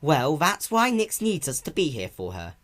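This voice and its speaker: A performer voicing a caring female pony in a cartoon-based story reading, soft, patient and reassuring like a big sister. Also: mid-range voice, huge pitch swings, moderate pace, pitched above the performer's own voice.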